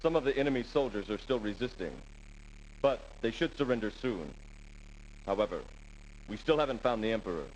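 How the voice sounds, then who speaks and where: Male actor as cautious military second-in-command for game cutscenes, medium pitch, dutiful, slightly noisy old audio. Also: lively intonation, lightly acted. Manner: formal, measured briefing. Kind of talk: dramatic video game cutscene acting.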